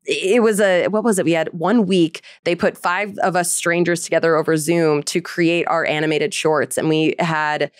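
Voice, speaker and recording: A woman's voice: lowish voice, fairly even intonation, moderate pace, hardly any pauses, no echo, studio quality. A woman chatting casually and enthusiastically about her work as an interview guest, with a bubbly manner.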